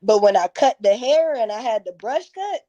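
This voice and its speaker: A woman's voice: fairly high pitch, expressive, moderate pace, warm tone. A woman in her thirties telling stories candidly in a casual interview, with slangy, profane, emphatic phrasing. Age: 30s. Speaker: interview guest, music performer.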